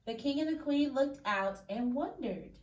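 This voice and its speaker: A woman teacher reading a picture book aloud expressively, pausing to question and explain in an instructive, emphatic way. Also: high-pitched, expressive, a little mellow. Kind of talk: expressive story read-aloud with questions to children. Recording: echoey room, distant mic, faint noise.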